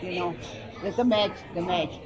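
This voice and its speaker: Woman, nineties, German accent, native German speaker, emphatic and repetitive in casual interview, loud crowd chatter around her. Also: on the low side, moderate pace. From Bavaria, Germany. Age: 90s. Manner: emphatic, repeats herself. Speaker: longtime restaurant customer interviewed. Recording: busy public room, loud crowd chatter. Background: crowd chatter indoors.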